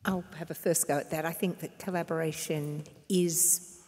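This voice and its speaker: A woman's voice: low voice, fairly even intonation, moderate pace, a little mellow. A woman answering confidently on a panel, speaking with emphasis. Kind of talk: panel discussion. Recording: reverberant hall.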